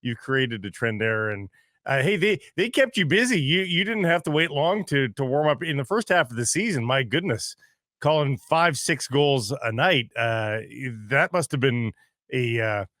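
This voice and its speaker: A man's voice: mid-range voice, expressive, moderate pace, fairly bright tone, dry recording, clean studio sound. A man hosting sports talk, chatting with dramatic emphasis. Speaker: sports talk show host. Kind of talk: sports radio chat.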